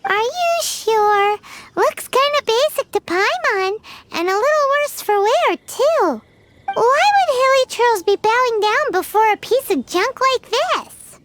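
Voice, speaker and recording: A woman's voice: extremely high pitch, lively intonation, a little slow, slight background noise, heavily acted. A woman voicing a tiny, cheeky, skeptical childlike fairy girl in a video game. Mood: skeptical, puzzled. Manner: childlike, refers to self in third person. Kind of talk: video game character acting. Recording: loud music behind the voice. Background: loud game music.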